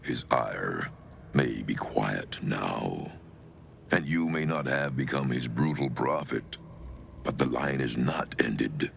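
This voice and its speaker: An actor playing a grim, murderous undead cult elder in a fantasy game, with solemn, archaic pronouncements. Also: male voice, slow pace, a little hiss or hum, exaggerated voice. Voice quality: very deep, gravelly. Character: dread undead male cultist elder, grim, murderous zealot. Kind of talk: dramatic fantasy game acting. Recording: music behind the voice.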